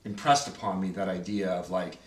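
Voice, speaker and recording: A man's voice: deep voice, level tone, a little fast. Host on a cast chat: man, loose, chatty, lots of fillers, roomy sound, mic off at a distance. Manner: loose, filler-heavy, asks many questions. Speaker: host and creator of the radio play. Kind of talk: casual behind-the-scenes chat.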